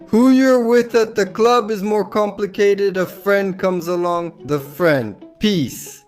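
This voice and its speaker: A hoarse man hosting a comedy show and reading viewer comments with quick, jokey asides. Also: high voice, soft background music.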